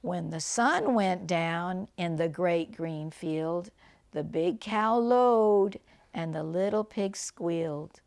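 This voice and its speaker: An older woman reading a picture book aloud to children like a grandmother, phrasing things deliberately and chatting about the pictures. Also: fairly low pitch, creaky, a little mellow. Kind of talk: read-aloud storytelling with chatty asides and animal sounds.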